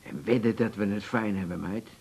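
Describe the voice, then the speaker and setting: An actor playing a jovial older man in a Dutch radio drama, folksy and persuasive, with a gravelly, husky voice. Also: fairly low pitch, fairly quick, a little mellow. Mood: coaxing. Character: jovial, coaxing older man courting a companion.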